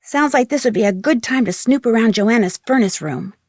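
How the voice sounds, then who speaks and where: A woman plays an inquisitive young detective heroine from a video game. Her voice is a little high and very dry in sound. She speaks in an earnest, measured way. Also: darkish tone, dry recording, close to an everyday voice. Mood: earnest, suspicious. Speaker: game voice actor. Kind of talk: scripted video game dialogue.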